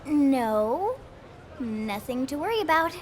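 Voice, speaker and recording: A woman's voice: high-pitched, very animated, takes it slowly, darkish tone, a little hiss or hum, exaggerated voice. A woman voicing a small, perky, eager-to-please sidekick in a video game cutscene, bouncy and chipper.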